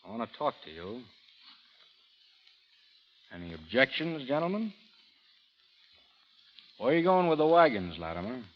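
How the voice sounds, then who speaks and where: An actor playing a weary, steely frontier gunfighter in old-time radio drama style, sometimes hesitant, sometimes terse. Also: male voice, medium pitch, very animated, measured pace, pauses often. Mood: commanding. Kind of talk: 1940s radio acting. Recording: muffled old radio broadcast, faint music.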